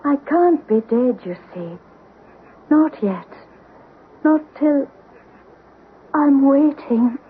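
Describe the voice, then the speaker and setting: A woman's voice: sits high, lively intonation, very slow, pauses often, exaggerated voice. An actress playing the restless, pleading ghost of a dead mother in an old radio horror drama, plaintive and ethereal. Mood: mournful, pleading. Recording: noisy, muffled vintage radio recording.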